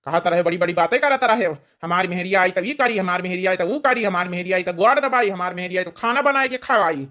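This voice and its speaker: A woman's voice: lowish voice, quick pace, a bit bright, natural voice. A woman voicing a grumpy elderly village father-in-law in a cartoon comedy, rambling and repeating in an Awadhi accent. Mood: angry scolding. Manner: rambling, repetitive scolding.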